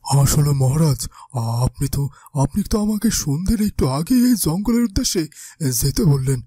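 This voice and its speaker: A man playing a deferential, apologetic royal spy with a husky voice in a fairy-tale cartoon. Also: on the high side, expressive, a little fast, mellow, dry recording, soft background music.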